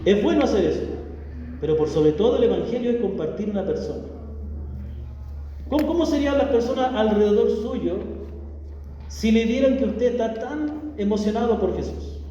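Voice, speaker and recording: A man with a Chilean accent preaches in a high-pitched, warm, mellow voice. He keeps his sermon chatty and light, throwing rhetorical questions at the pews. The sound reaches the mic from a distance, with a long, echoey church-hall reverb. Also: animated, normal speed, pauses often, lo-fi sound, a little hiss or hum. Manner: chatty, joking, full of rhetorical questions to the congregation. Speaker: pastor. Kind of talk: conversational sermon.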